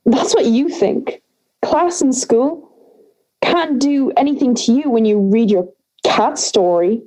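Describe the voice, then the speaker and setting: A woman's voice: fairly high pitch, takes it slowly, warm tone, poor audio. A young woman playing a wry schoolgirl friend, sheepish but bossy, in a conversational audio drama scene. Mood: wary, wry. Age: young adult.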